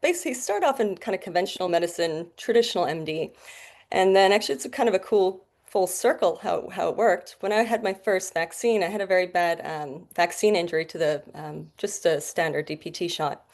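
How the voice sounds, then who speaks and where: A woman's voice: fairly low pitch, a little fast, a little mellow. A woman in her forties from the Bahamas with a North American accent, a guest doctor telling her story in a chatty, laughing way. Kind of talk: casual interview chat.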